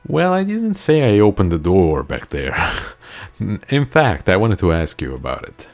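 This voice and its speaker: A man's voice: on the low side, sing-song, measured pace. A man whose first language is Italian, reading game dialogue aloud in a let's play, playful and swearing casually. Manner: playful, laughs at his own lines, swears casually. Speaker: gamer.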